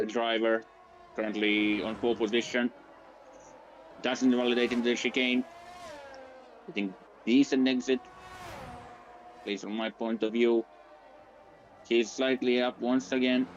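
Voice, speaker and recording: A man's voice: medium pitch, flat delivery, unhurried, long pauses, slight background noise. Muffled hesitant co-commentator, man. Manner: hesitant, many fillers. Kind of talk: casual color commentary. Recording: muffled, lo-fi mic.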